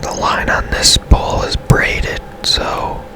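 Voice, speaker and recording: Slow, whispering ASMR man. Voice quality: breathy, unvoiced whisper. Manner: unhurried, hushed, casual musing. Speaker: game streamer, host. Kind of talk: whispered ASMR gameplay commentary.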